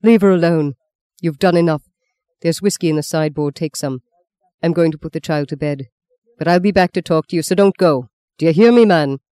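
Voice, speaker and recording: A man voicing a stern, angry priest in an audiobook, forceful and commanding. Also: a little high, a little fast, no room sound, lightly acted. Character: angry commanding priest, fiercely scolding quarrelling men.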